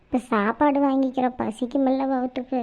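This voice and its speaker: A woman's voice: sits high, steady tone, brisk, darkish tone. A woman voicing a bossy, chatty cartoon village woman with a colloquial Tamil Nadu accent. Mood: pleading, chatty. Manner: colloquial, scolding, teasing. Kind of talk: cartoon comedy skit dialogue. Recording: muffled, band-limited audio.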